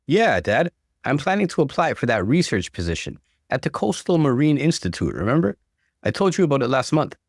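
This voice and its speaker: A man performs a young student son standing firm, frustrated and earnest. The voice swings expressively in pitch as it protests, with a dry narrated-story sound. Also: very animated, a little fast, no room sound. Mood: restrained frustration. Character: frustrated, determined young student son defending his dreams.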